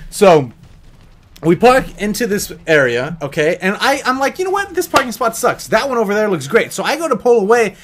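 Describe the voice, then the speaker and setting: High-pitched American guy, rambling and joking. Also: big pitch swings, a little fast, background noise. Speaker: YouTube vlogger telling a story. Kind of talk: casual storytime chat.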